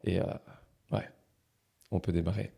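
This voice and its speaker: A podcast host with a very low, darkly mellow voice. He talks in a relaxed, halting way, stopping and restarting his sentences as he chats with his guest. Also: gravelly, slightly rough. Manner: hesitant, repeats and restarts phrases. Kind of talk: casual interview chat.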